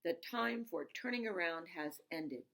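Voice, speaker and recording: A woman's voice: lowish voice, expressive, measured pace, vocal fry, a bit bright, heavily acted. A woman voicing a stern, dramatic elderly grandmother who speaks in solemn, grand pronouncements in a storybook read-aloud. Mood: grave. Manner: solemn, portentous.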